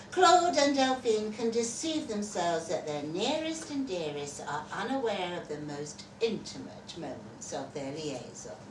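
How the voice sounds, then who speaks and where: A woman reading a short story aloud expressively at a live event, as narrator. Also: medium pitch. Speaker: story reader. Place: festival venue at an observatory. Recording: roomy, distant mic, background noise.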